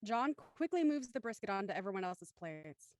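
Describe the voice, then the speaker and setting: A woman's voice: medium pitch, very animated, a little fast, dry recording. A woman reading scripted stage directions as the narrator of a drama.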